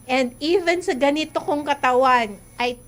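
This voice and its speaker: A woman in her forties with a Filipino accent, a doctor hosting a casual radio health chat, talkative and quick to laugh. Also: high-pitched, a bit bright. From Philippines. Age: 40s. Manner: chatty, laughs often, mixes English and local words.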